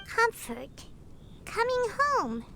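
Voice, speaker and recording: A woman voicing a tiny floating girlish fairy companion, chatty and excitable, who talks about herself in the third person, in a video game cutscene. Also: very high voice, very slow, creaky, soft background music, full character voice. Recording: loud background noise with clicks.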